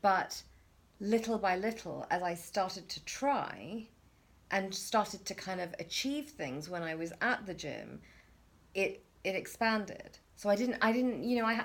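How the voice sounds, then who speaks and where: A woman's voice: mid-range voice, vocal fry. A middle-aged woman hosting a reflective conversation, talking hesitantly with many restarts and fillers. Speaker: interview host.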